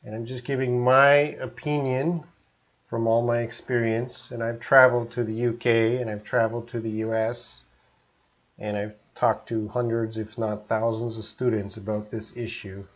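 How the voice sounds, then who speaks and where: A man in his forties from Canada, with a faint Canadian accent, teaches English on a live stream. He talks in a chatty, easygoing way at a middle pitch and reads out his viewers' comments. The audio sounds slightly dull. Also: little pitch movement, normal speed. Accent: mild Canadian.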